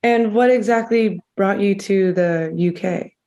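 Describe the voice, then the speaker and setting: A woman's voice: medium pitch, unhurried, a little mellow, highs cut off. Young woman hosting, asks curious encouraging questions. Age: young adult. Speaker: podcast interviewer. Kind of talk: interview questions.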